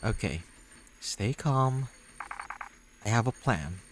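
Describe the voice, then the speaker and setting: A man's voice: medium pitch, animated, takes it slowly, noisy background. A man voicing an earnest, sweet, anxious and lovestruck character from a visual novel game. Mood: flustered. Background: background music.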